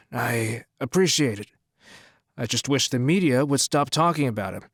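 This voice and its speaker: An actor playing a persuasive, scheming rich promoter in an audio drama, talking in a businesslike way. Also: male voice, medium pitch, lively intonation, unhurried, fairly bright tone, no echo, clean studio sound. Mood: startled, bitter.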